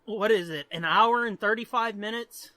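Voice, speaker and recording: High-voiced bright podcast host. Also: male voice, normal speed, no echo. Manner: profane, rambling and earnest. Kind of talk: casual podcast chat.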